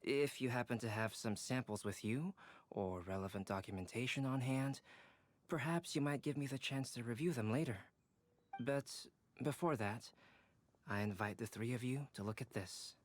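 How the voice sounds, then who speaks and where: A man playing a calm, courteous young scholar in an anime-style game, speaking formally and with measured care. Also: medium pitch, slight background noise, natural voice.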